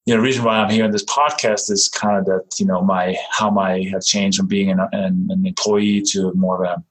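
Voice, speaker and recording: A man with a Danish accent talks in a low voice, friendly and unhurried. He stops and restarts often, filling gaps with ums as he explains himself. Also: flat delivery, rough recording. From Denmark. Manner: friendly, halting, many fillers and restarts. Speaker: guest, real estate investor. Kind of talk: casual interview talk.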